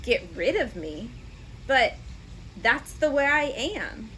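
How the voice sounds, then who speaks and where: A stubborn young storybook donkey, as a woman reader puts it on, grumbling with theatrical indignation in a fairly high voice. The delivery is unhurried, and there is faint noise behind it. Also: very animated, fairly bright tone, full character voice, rough recording. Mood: huffy. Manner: indignant, exaggerated storybook complaining. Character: stubborn, huffy young donkey telling his side. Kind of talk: children's storybook read-aloud.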